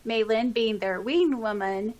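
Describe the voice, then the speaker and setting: Expressive woman co-hosting a podcast. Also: a little high, a little slow, dull audio. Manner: expressive, giggly, interjecting exclamations. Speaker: podcast co-host. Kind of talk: reading listener comments aloud with chatty reactions.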